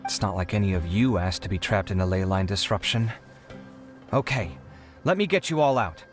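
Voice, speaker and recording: A man's voice: low voice, expressive, moderate pace, music in the background. A man playing a harried young inventor in a video game, dramatic and put-upon but kind-hearted, with an exasperated manner. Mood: despairing. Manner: exasperated, theatrical, sighing. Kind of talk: animated video game character acting.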